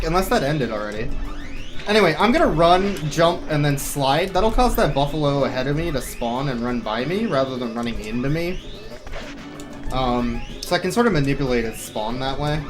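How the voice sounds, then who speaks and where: A man giving casual, joking live commentary as he speedruns a video game. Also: mid-range voice. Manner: wry, joking. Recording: voice under loud game music. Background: loud game music.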